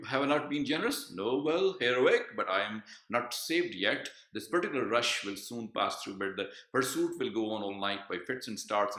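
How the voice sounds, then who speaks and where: A man reads out a courteous, level-headed Swiss mercenary soldier from a stage play, his voice bright and forceful. The lines come with slightly raised pitch and a steady reading rhythm. The sound rings in an echoey room.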